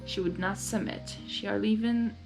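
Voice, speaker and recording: A woman reading fan fiction aloud, mixing expressive story narration with casual spoken reactions. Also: medium pitch, unhurried, creaky, poor audio. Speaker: fan fiction reader and commentator. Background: faint music.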